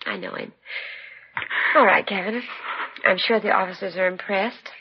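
A woman's voice: medium pitch, sing-song, normal speed, lightly acted. An actress playing a domineering, fussing middle-aged mother in a 1950s radio drama. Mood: brisk, controlling. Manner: fussing, imperious, cooing over her son. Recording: muffled, noisy 1950s radio broadcast with clicks.

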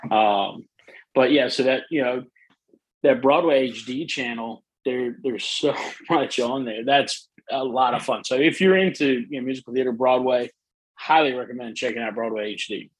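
Soothing husky-voiced man, dry close mic. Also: medium pitch, normal speed, no echo, low-quality recording. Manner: enthusiastic, many fillers and restarts. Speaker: guest. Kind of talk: casual interview chat.

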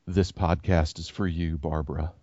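A man co-hosting a casual podcast chat as a pastor, soft-spoken and halting, with many fillers and restarts. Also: low-pitched, flat delivery, no echo. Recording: dry, dull lo-fi sound.

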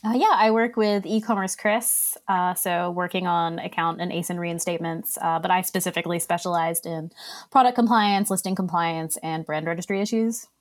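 A relaxed woman whose Australian accent is tinged with Boston, answering a podcast host's questions. She uses plenty of fillers and laughs lightly. Her voice sits a little low for a woman. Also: moderate pace. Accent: Australian mixed with Boston. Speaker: compliance specialist, guest. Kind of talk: casual interview chat.

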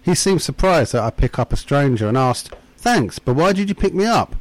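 A man's voice: a little high, sing-song, moderate pace, no room sound, low-quality recording. A man telling a scripted creepy short story in the first person.

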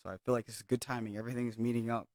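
A man's voice: medium pitch, flat delivery, very fast, darkish tone, no echo. Reflective young man from Hawaii. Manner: reflective, storytelling with many fillers. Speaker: guest. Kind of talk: casual podcast chat.

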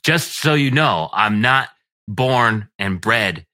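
A man from Western New York talks as a relaxed interview guest, rambling a little and chuckling often. His voice is pitched a bit low but sounds strikingly bright and forceful. The recording is dry and close. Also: slow pace, dry recording. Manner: laid-back, chuckles often, rambling explanations. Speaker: guest musician. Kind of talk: casual interview chat.

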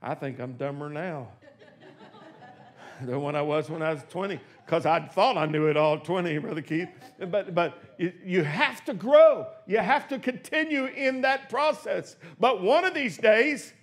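High-voiced preacher in his sixties. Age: 60s. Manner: preaching with swings from hushed asides to shouted exclamations. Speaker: pastor. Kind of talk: sermon. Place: church sanctuary.

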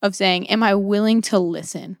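A woman's voice: medium pitch, steady tone, moderate pace, creaky, clear, resonant tone, dry recording. Woman co-host in conversation, dry sound. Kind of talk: conversational discussion of a book.

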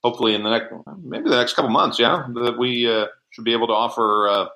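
A man's voice: medium pitch, quick pace, pushed and bright, poor audio. A man speaking as an interview guest, giving casual explanations in conversation. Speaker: interview guest, society co-founder.

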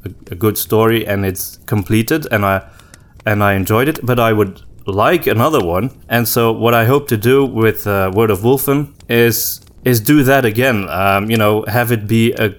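A man co-hosting a podcast in casual conversation, with hesitant, halting phrasing and repeated words. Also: on the low side. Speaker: podcast co-host and story writer.

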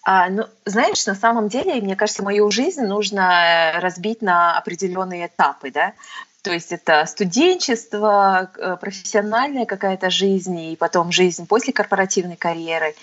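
A woman in her thirties, a podcast guest, telling her life story in a reflective, unhurried way with frequent fillers. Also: medium pitch, a bit bright, highs cut off. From Kazakhstan. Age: 30s. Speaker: guest, tax consultant. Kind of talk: casual interview storytelling.

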